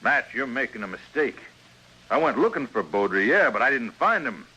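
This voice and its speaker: An actor playing an accused frontier blacksmith in an old radio western, earnest and anguished, with plain rustic speech. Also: male voice, medium pitch, sing-song, normal speed, bright, forceful tone, husky, heavily acted. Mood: alarmed, protesting. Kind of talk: old-time radio western drama acting. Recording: noisy old radio drama recording.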